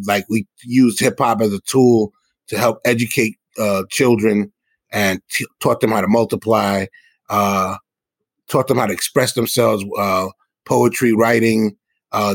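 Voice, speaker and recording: A man's voice: on the low side, steady tone, a little slow, dry recording. A middle-aged man from the South Bronx, answering interview questions in an earnest, explanatory, conversational way. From South Bronx, New York City. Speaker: hip hop musician and guest.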